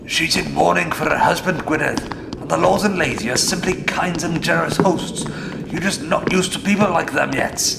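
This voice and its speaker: A man's voice: a little high, normal speed, vocal fry, very noisy. An actor playing a stuffy, dismissive middle-aged British father, formal and wordy, in an old-style radio play. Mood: scolding. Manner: fussy, wordy, formal, scolding.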